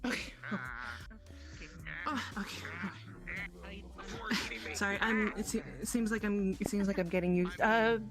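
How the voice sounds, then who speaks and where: A woman with a mid-range voice speaks in an improvised roleplay. She is hesitant and flustered, often halting mid-thought. Music plays clearly behind her. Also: medium pitch, steady tone. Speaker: player in an online roleplay. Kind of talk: improvised in-character conversation.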